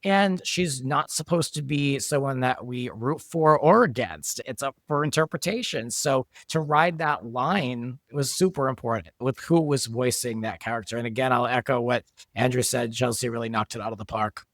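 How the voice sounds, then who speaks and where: A man's voice: mid-range voice, normal speed, few pauses, a bit bright, no room sound, clean studio sound. A man talking as a podcast guest and show creator, explaining things conversationally and thinking aloud as he goes. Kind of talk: conversational behind-the-scenes discussion.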